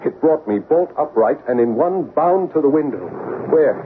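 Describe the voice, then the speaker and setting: A man's voice: a little high, expressive, moderate pace, natural voice. An actor playing a troubled, haunted man in an old-time radio horror drama, earnest, intense and theatrical. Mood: agitated. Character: troubled, haunted grown son seeking truth about his mother. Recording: noisy, muffled vintage radio recording.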